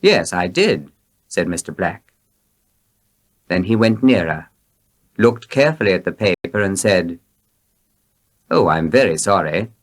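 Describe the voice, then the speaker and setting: A man reading short tales aloud for learners in a deliberate, expressive storytelling manner. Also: low voice. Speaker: story reader.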